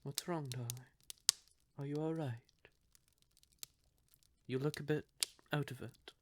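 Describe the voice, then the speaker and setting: A man playing a courtly, possessive vampire lover in an audio roleplay, speaking theatrically and deliberately with syrupy endearments and breathy, quietly menacing undertones. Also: fairly high pitch, background noise, close to an everyday voice. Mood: feigned concern. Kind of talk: scripted audio roleplay addressed to the listener.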